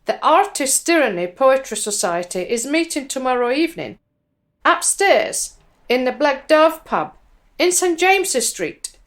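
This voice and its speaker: An earnest woman with a fairly high voice, in a radio drama. She is a budding performance poet who speaks slowly and deliberately, weighting her words. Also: very animated. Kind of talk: radio drama acting, with recited poetry.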